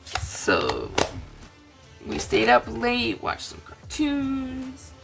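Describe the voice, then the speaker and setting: A tired woman with a raspy voice, chatting casually as a crafting host, rambling gently. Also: a little high, wildly swooping pitch, drawn-out words, music in the background. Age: adult, aunt. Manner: rambling, chatty, soft-spoken. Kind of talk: casual chat to camera.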